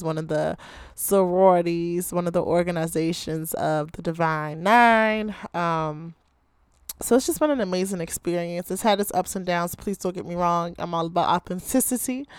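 Chatty hoarse young woman podcaster. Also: on the low side, dry recording, studio quality. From Baltimore, Maryland, USA. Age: 20s. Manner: chatty, heavy use of "like" and "you know". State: hoarse, voice still recovering. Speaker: podcast host. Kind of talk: casual solo podcast chat.

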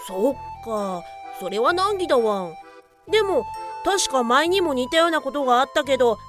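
A woman's voice: sits high, lively intonation, normal speed, a bit bright, faint music behind. A woman voicing a friendly, helpful talking dog in a cartoonish comic audio drama, with cutesy phrasing. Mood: chirpy, helpful. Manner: cutesy, dog-like sentence endings.

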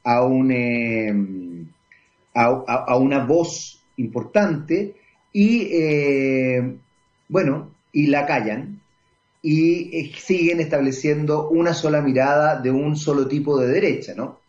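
A Chilean man hosting a radio talk show, giving commentary and interviewing a guest. He pauses often with drawn-out fillers. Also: a little high, a little mellow. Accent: Chilean Spanish. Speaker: radio host. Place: radio studio. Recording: dull lo-fi radio broadcast.

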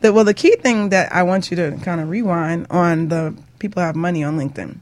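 A woman's voice: lowish voice, a little fast, darkish tone. Casual woman hosting a talk show. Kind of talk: casual, informal interviewing.

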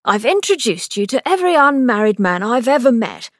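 A woman's voice: sits high, lively intonation, measured pace, no room sound, studio quality, pitched above the performer's own voice, exaggerated voice. Woman voicing a smug, teasing young doctor. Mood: exasperated. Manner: teasing, exasperated. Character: smug sharp-tongued young male doctor.

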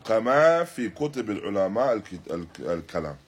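A man teaching in a religious lecture, deliberate and emphatic, repeating and rephrasing his phrases as he explains a text. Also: lowish voice, very animated, slight background noise. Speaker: religious teacher giving a lesson. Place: Islamic centre, live stream.

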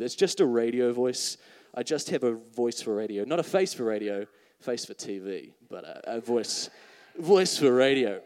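A man's voice: medium pitch, a little mellow. A man preaching a live sermon to a congregation, chatty and full of self-mocking jokes. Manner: chatty, joking, self-mocking asides to the crowd. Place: church hall before a congregation. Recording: reverberant hall.

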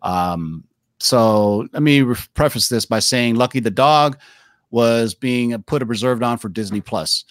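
40s guy hosting a chill podcast chat, rambly and animated, says man and dude a lot, dry close sound. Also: medium pitch, unhurried, no room sound. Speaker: podcast host. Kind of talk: casual chat.

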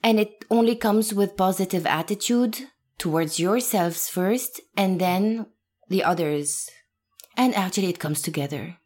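A woman's voice: medium pitch, unhurried, a little mellow. A woman in her thirties from Lebanon, an actress talking earnestly and emphatically as an interview guest. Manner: earnest, emphatic, laughs now and then. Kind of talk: reflective interview talk.

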